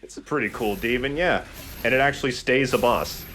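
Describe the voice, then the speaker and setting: A man's voice: mid-range voice, a little fast, fairly bright tone, slight background noise. A man hosting a let's play, chatting casually as he comments on the game. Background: game music.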